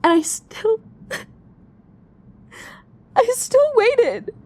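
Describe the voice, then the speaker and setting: A woman's voice: very high-pitched, big pitch swings, extremely slow, a little mellow, full character voice. A woman acting in an audio drama as a guilt-ridden young woman searching for her missing sister. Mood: anguished, tearful.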